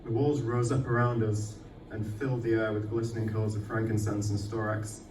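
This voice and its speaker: A man's voice: lowish voice, flat delivery, normal speed, a little mellow, recorded from a distance. A male poet at a live poetry reading in an echoey venue, picked up some way from the microphone. His manner is self-deprecating and sweary, and there is faint noise in the recording. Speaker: poet performing own work. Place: indoor arts night venue, live event.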